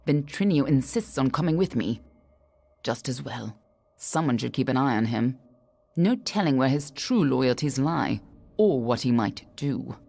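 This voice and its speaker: A woman's voice: a bass voice, animated, measured pace, gravelly, mellow, full character voice. An actress playing a cool, self-reliant agent in a fantasy game, dry and guarded in how she talks. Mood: wary. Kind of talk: dramatic video game dialogue.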